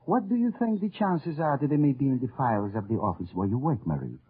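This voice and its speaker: Courtly resistance fighter, lively delivery. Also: male voice, mid-range voice, fast talker, slightly rough. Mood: businesslike. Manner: formal, elaborately polite phrasing. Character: urbane, courtly French resistance fighter, wryly polite. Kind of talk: 1950s radio drama acting. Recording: muffled, noisy old radio recording.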